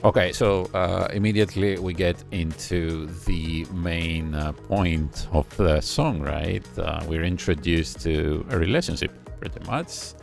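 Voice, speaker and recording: Gravelly deep-voiced man breaking down a song. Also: a little slow, few pauses, over music. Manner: thinking aloud, hums chords to explain. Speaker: music reaction host. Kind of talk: casual music analysis commentary. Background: faint song playing.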